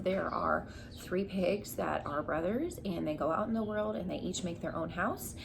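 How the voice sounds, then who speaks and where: Young woman teacher, noisy home recording. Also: on the low side. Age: young adult. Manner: explanatory, prompting. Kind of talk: read-aloud lesson for children, asking questions. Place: living room at home, on a couch. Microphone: camera a short distance away. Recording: clearly noisy home room sound.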